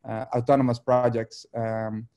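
A Dutch man in his thirties speaks English over a video call from a room at home. His voice is mellow and dark, and he talks haltingly, filling gaps with ums and restarting phrases. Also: medium pitch, flat delivery, clean tone. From Netherlands. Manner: hesitant, many fillers and restarts. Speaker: artist guest.

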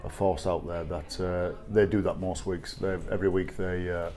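Middle-aged English coach at a press table, deep and dark-toned, halting and measured. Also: male voice, fairly even intonation. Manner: measured, halting, with frequent fillers and restarts. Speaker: rugby league head coach. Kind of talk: post-match press conference answers. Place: small press room with sponsor backdrop, seated at table. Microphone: table microphone.

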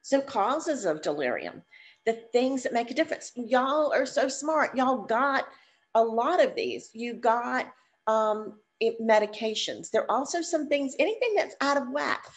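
An older woman with a drawl leads an online class from her living-room sofa, calling her listeners "y'all" and cheering them on. Her voice sits a little high and sounds stuffed up from a cold. It comes through a webcam on a video call. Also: expressive, measured pace. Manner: chatty, encouraging, addresses audience as y'all. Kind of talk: live online teaching session.

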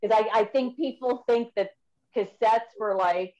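A woman's voice: fairly high pitch, a little slow, warm tone, highs cut off. A woman in her fifties, a metal musician, chatting casually on a video call with many fillers and restarts. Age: 50s. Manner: rambling, frequent fillers and restarts. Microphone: video call webcam.

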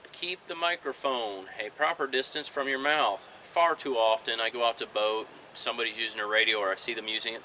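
A man's voice: medium pitch, bright, forceful tone, poor audio. A man in his forties giving plain-spoken, matter-of-fact instructional tips to camera, recorded outdoors. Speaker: marine electronics installer giving tips. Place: outdoors in a fenced backyard. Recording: outdoor ambience, faint background noise.

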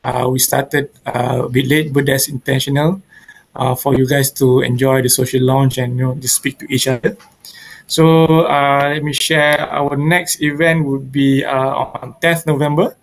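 A man hosts an online meetup in a casual, informal way. His mid-pitched voice has a gravelly creak, and he speaks over a video call. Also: flat delivery, moderate pace, a little hiss or hum, poor audio. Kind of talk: casual event hosting. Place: indoors at home on a video call. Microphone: video call microphone.

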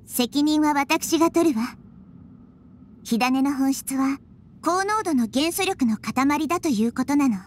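A calm young girl goddess. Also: high-pitched, unhurried. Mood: reassuring. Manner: soft, composed, reassuring. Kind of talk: Japanese game voice acting. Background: game music.

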